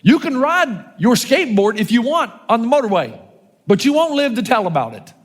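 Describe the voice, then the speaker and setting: A man in his fifties, a pastor, preaching a sermon with animated, joking delivery and rhetorical questions. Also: very high-pitched, normal speed, in a large hall. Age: 50s.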